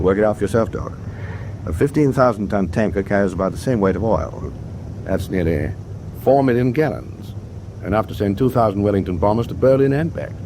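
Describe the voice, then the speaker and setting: An actor playing a middle-aged British warship captain in a wartime radio drama, reflective and kindly, with measured, clipped officer speech. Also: male voice, lowish voice, background noise. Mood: wry, musing.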